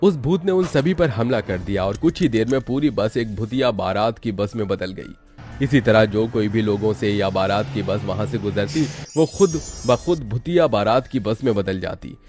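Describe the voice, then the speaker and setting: A man's voice: lowish voice, normal speed, darkish tone. A man narrating a scripted horror story for a cartoon, as the storyteller. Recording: music bed under the voice.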